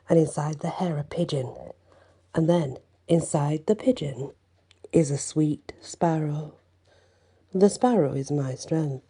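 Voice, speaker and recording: A woman storyteller voicing a boastful, mocking male dragon with slow, taunting speech. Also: deep voice, warm tone, husky, exaggerated voice.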